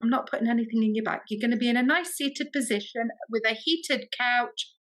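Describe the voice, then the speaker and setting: A woman with an English accent, a podcast guest telling stories in a chatty, expressive way and acting out quoted dialogue. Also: a little high, a little fast. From Britain. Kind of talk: animated storytelling in casual interview.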